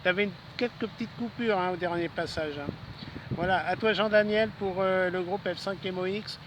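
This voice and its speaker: A Frenchman with a fairly high voice talks on amateur radio from a city garden, with clear outdoor noise around him. His delivery is casual and easygoing, with halting pauses as he hands over the conversation. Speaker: amateur radio operator, channel host. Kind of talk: casual radio contact chat. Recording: noisy outdoor city ambience. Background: urban outdoor noise, traffic.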